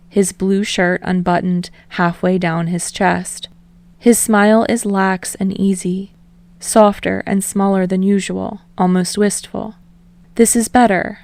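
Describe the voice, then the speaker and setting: A woman's voice: lowish voice, darkish tone, no room sound. A woman reading scripted fiction narration as an audiobook narrator.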